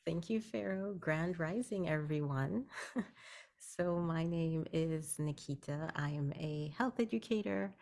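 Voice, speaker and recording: A woman from Guyana speaks as a guest on a video-call meeting, explaining her services plainly and patiently. Her voice sits very low for a woman, with a gravelly fry running through it. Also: measured pace, darkish tone. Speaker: guest, health educator. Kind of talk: virtual networking meeting talk.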